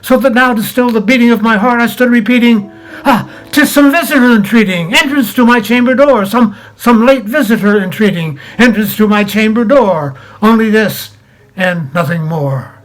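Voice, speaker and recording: A man giving a theatrical, expressive recitation of a classic poem, swelling and hushing for dramatic effect. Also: sits high, normal speed, rough recording. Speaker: poetry reader. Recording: music under the voice. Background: background music.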